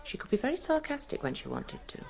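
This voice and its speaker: A woman's voice: mid-range voice, big pitch swings, fast, gravelly, background noise. A woman playing a plain-spoken, proper nurse in a radio drama, with an English accent and a confiding, frank manner. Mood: gossipy, frank. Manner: confiding, hesitant, then frank.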